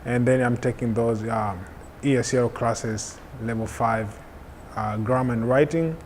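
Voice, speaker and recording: A man from Tanzania with a Tanzanian accent, whose first language is Hehe, describing his work and studies in measured speech with hesitations. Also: medium pitch, steady tone, a little hiss or hum. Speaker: media technician and student. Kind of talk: interview.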